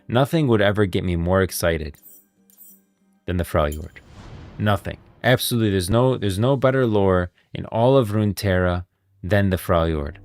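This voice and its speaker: A man with a deep voice talks casually and emphatically, like a streamer sharing strong opinions on game lore. The sound is dry and close to the microphone, with faint music underneath. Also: no room sound. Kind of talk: casual livestream commentary. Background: background music.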